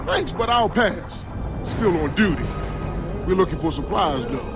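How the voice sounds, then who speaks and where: An actor playing a big brash male soldier and former football star in a video game, loud and boastful. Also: high voice, very animated, measured pace, heavily acted. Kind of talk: video game cutscene acting. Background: game music.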